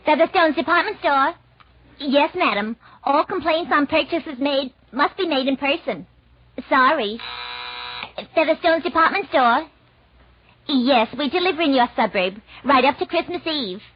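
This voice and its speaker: High-voiced store switchboard girl. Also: lively intonation. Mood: brisk, routine. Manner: brisk, clipped announcing and phone patter. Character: busy, polite female department store switchboard operator. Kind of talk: 1940s radio comedy acting. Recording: muffled, noisy 1940s radio recording.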